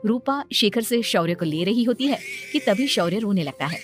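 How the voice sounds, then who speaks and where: A woman's voice: mid-range voice, lively intonation, normal speed. Woman telling a moral story, scripted narrator style, bit of music behind.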